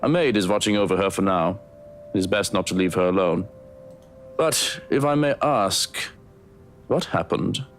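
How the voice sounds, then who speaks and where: An actor playing a composed butler in a visual novel, speaking in a formal, deadpan manner with dry morbidity. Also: male voice, deep voice, normal speed, long pauses, a little hiss or hum.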